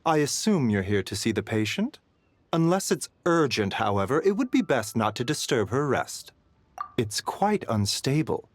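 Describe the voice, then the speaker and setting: A man playing a gentle, courteous doctor in a video game, with measured, polite speech. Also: medium pitch, big pitch swings, no room sound. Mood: courteous, calm. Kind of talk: scripted video game dialogue.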